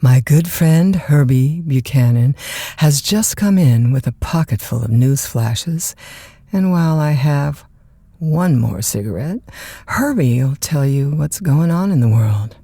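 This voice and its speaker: Easygoing late night radio dj lady, mellow and unhurried, kinda husky, folksy chat. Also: sits low, lively intonation, no echo, full character voice. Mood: friendly on-air. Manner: folksy, informal, conversational. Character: chatty, easygoing female overnight radio disc jockey. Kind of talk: naturalistic audio drama acting.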